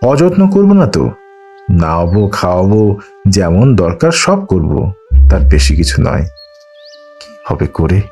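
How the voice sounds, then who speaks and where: A man's voice: sits low, sing-song, slow pace, long pauses, warm tone, husky, soft background music, heavily acted. A man voicing a resigned, anxious young housewife and mother who talks in plain colloquial reasoning, in an audiobook.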